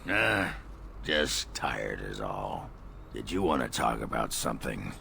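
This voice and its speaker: A fantasy game dwarf, extremely low and full of gravel. He is crude and boisterous, drawling slowly with big swings in pitch, always itching for a fight. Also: sing-song, sharp tone, a little hiss or hum, full character voice. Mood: gruff, boisterous. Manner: coarse, blustering, sweary. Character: gruff, crude, hard-drinking dwarf warrior itching to fight. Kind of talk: fantasy video game character acting.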